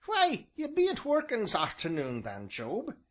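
A wizened, gossipy old countryman, as a male audiobook narrator puts him on, pitched far above the reader's own voice. He drawls and quavers in a thick rural southern English dialect, swooping up and down as he spins his tales. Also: huge pitch swings, a little slow, fairly bright tone, heavily acted. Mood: chatty, knowing.

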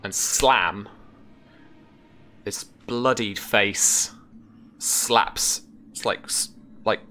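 Deep-voiced man narrating a tabletop game. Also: sharp tone, a little hiss or hum. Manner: halting, casual, descriptive. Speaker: game master. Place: indoor room, remote session. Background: music.

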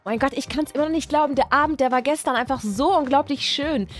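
Woman as a high, giddy lovestruck schoolgirl. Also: quick pace, faint music behind, natural voice. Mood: gushing. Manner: gushing youth slang. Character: excitable, lovestruck teenage schoolgirl, sweet and giddy. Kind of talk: acted toy-figure story for children.

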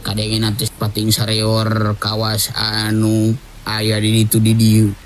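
A man's voice: lowish voice, completely flat delivery, takes it slowly, a little hiss or hum. A man with a Sundanese accent narrating a folktale in a dramatic oral storytelling style. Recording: music under the voice.

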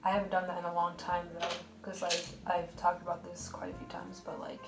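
A woman talks casually and bluntly over her drawing, her delivery flat and monotonous and full of fillers. Her voice sits a little low. It is recorded in a roomy space, with music playing underneath. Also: brisk. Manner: flat, monotonous speech pattern; blunt and rambling, many fillers. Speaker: art vlogger.

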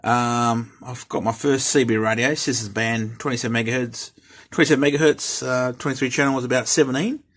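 Middle-aged Australian male hobby host, husky tone, hesitant and repetitive, casual delivery. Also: medium pitch, steady tone, fairly quick, hardly any pauses, fairly bright tone. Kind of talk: casual hobby vlog talk.

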